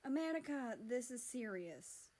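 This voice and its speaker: A woman's voice: fairly high pitch, sing-song, measured pace, a little mellow, voice pushed up. A woman voicing a short, hot-tempered, impulsive, lovesick male character in a story, with dramatic delivery. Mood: desperate. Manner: dramatic, swings between outbursts and pleading.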